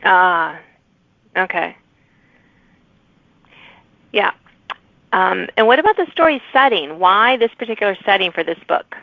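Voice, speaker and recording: A woman hosts a podcast interview in a friendly, conversational style. Her voice sits at a middle pitch. The sound is narrow and phone-like, with very little room echo. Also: lively intonation, a little slow, vocal fry, long pauses. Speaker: podcast host interviewing authors. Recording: muffled band-limited phone-like call audio.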